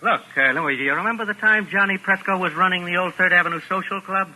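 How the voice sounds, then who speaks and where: A man's voice: high-pitched, quick pace, bright, forceful tone, a little hiss or hum, low-quality recording. An actor playing a ruthless, bossy American gangster in 1940s radio drama, talking in menacing tough-guy slang. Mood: sadistic relish. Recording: old 1940s radio broadcast recording.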